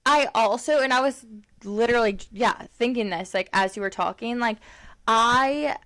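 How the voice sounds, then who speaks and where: A woman's voice: on the high side, normal speed, a bit bright. A woman in her late twenties co-hosting a podcast, chatting casually and rambling, with lots of "like" and frequent laughs. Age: 20s. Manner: chatty, rambling, heavy use of "like", laughs often. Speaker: podcast co-host. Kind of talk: casual chat.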